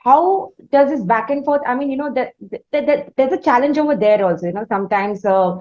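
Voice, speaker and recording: A woman's voice: high-pitched, brisk, darkish tone, highs cut off. A woman with an Indian accent hosting a music interview, speaking in an effusive, wordy, roundabout conversational way. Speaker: interviewer, podcast host.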